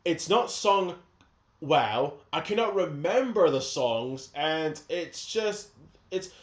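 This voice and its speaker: A man's voice: a little high, expressive, slow pace. A man hosting a casual chat that ranks movies, rambling and opinionated, with frequent restarts. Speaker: video host. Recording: echoey room sound.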